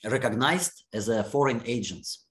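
A man with a Russian accent, speaking English as a second language, giving long deliberate answers in an interview and often restarting his words. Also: medium pitch, fairly bright tone. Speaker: interviewee, anti-war activist.

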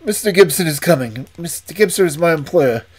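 Reader voicing a panicky, breathless man, raised and loud, pitch swinging, urgent. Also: sing-song. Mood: agitated. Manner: agitated, emphatic, rushed. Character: frightened, breathless male estate manager. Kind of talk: audiobook reading.

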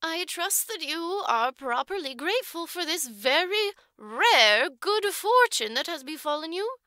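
A woman's voice: very high voice, sing-song, a little slow, sharp tone, dry recording, studio quality, pitched above the performer's own voice, exaggerated voice. A woman voicing a stern, pompous orphanage matron who lectures in formal, emphatic oratory. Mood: preachy, stern.

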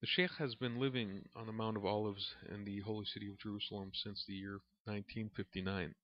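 Man, lowish voice, measured reverent book reading, spiritual lesson, muffled lo-fi sound, roomy echo. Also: steady tone. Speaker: reader and religious teacher. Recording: dull, lo-fi recording in an echoey room.

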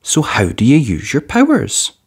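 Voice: medium pitch, huge pitch swings, normal speed, breathy edge, exaggerated voice. Tender, encouraging storybook mother, read by a narrator. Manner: tender, solemn, reassuring. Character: gentle, encouraging mother of a young girl.

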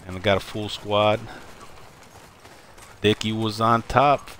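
A man's voice: on the low side, fairly even intonation, takes it slowly, fairly bright tone, slight background noise. A man commenting on a live shooter game, chatty and full of wiseguy catchphrases, greeting teammates and viewers by name. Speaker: game streamer.

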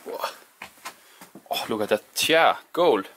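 A man narrating quietly to camera as he explores an abandoned house, musing in hushed. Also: on the low side, expressive, slow, background noise. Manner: hushed, musing, non-native English phrasing. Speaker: urban explorer vlogger. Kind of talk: casual narration while exploring.

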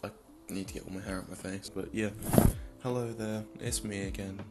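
A young man with a croaky, just-woken voice, rambling casually through a home vlog with lots of restarts. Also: on the low side, fairly even intonation, fairly quick, over loud music. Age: young adult. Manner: rambling, self-deprecating, restarts a lot. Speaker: film photography vlogger. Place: small home office room. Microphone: desk mic in front.

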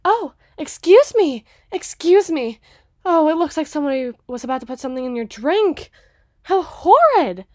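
Girl doing a scheming lovesick witch for asmr roleplay, highish voice, super dry close sound, theatrical. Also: sing-song, dry recording. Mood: falsely sweet. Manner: theatrical, slow, old-fashioned wording. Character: scheming yandere witch, theatrical, smitten and obsessive. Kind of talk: ASMR roleplay audio drama.

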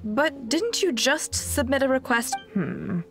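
A formal senior nun in an anime game. The voice is fairly high and richly inflected, polite and composed, over clearly audible music. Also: female voice, sing-song, a little slow, full character voice. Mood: composed, concerned. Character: composed, formal church sister, a superior. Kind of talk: anime-style video game voice acting. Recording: music behind the voice.

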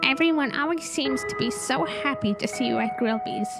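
Girl doing a fussy naive skeleton little brother, high creaky voice, loud music behind it. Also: fairly quick. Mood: eager curious. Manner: emphatic, theatrical scolding and eager questioning. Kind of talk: amateur comic dub acting.

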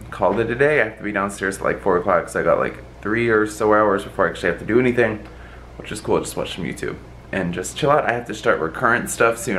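A man's voice: fairly low pitch, a little hiss or hum. A young man with a congested, stuffy nose, chatting casually to a phone camera in a travel vlog. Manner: chatty, relaxed, rambling asides. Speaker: flight attendant vlogger.

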